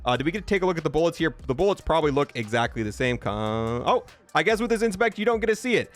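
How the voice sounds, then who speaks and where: A man with a slightly high voice gives casual commentary as the host of a game review video. He talks informally, thinking out loud as he tries each thing out. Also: big pitch swings, rapid-fire, faint music behind. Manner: informal, thinking aloud as they test things.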